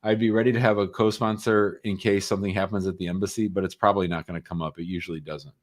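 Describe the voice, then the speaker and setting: A man's voice: low-pitched, fairly even intonation, fast talker. A man hosting a live call-in advice show, chatting casually and giving short, informal, reassuring answers. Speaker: host answering immigration law questions.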